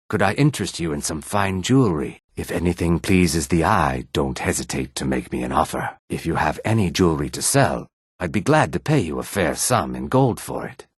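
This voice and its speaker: A man playing a courteous, weary and dignified reptilian merchant in a fantasy video game, speaking formally in a throaty rasp. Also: low-pitched, dry recording, studio quality. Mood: welcoming. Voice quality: raspy, husky, throaty. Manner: polite, formal, measured. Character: courteous male Argonian lizard-folk merchant, weary and dignified.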